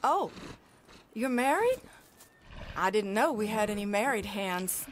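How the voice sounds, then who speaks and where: An actress playing a brisk, bossy, forward rancher's wife in a western video game. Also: female voice, mid-range voice, big pitch swings, slight background noise. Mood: flustered.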